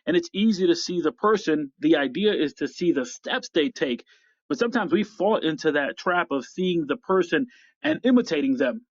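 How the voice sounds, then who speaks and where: A middle-aged American man hosting a motivational podcast, speaking in deliberate, emphatic phrases with repetition. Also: on the high side, expressive, no echo. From upstate New York, United States. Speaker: podcast host. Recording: slightly dull, dry lo-fi audio.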